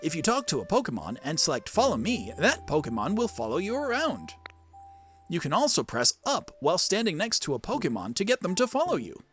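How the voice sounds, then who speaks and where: A performer as video game guide device, informative, formal announcer delivery, lively intonation. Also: mid-range voice, brisk, faint music behind.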